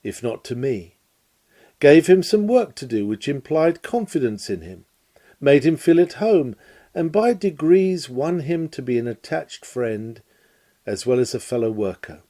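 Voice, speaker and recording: A man reading a lecture aloud as scripted narration, in a measured, emphatic, oratorical manner. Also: medium pitch, very animated, dark tone. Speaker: reader of a historic sermon lecture.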